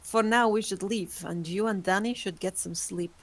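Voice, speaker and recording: A woman's voice: mid-range voice, moderate pace, no room sound, natural voice. A woman voicing a composed ancient vampire. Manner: composed, formal. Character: ancient calm female vampire. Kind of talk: amateur online table read.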